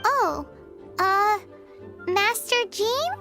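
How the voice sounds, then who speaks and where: Tiny fairy companion, extremely high childlike voice, animated swoops, cheeky, exclamatory, video game. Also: female voice, drawn-out words, faint music behind, full character voice. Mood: hesitant. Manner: speaks of self in third person, exclamatory. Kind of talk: video game character dialogue.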